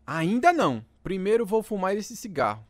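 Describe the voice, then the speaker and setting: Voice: mid-range voice, very animated, normal speed, no room sound, lightly acted. A performer playing an indignant, bossy young boy, the eldest son in a radio drama. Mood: bossy, swaggering. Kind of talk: radio drama dub acting.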